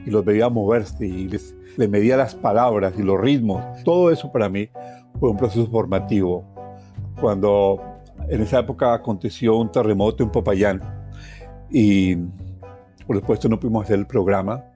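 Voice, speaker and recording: Middle-aged Colombian man, low voice, expressive and deliberate personal storyteller, guitar music underneath. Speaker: radio journalist recounting his life. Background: plucked guitar music.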